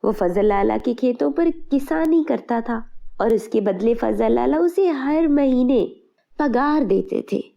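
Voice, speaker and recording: A woman's voice: on the high side, animated, slow, gravelly, dull audio. A woman narrator reading a scripted moral tale for children.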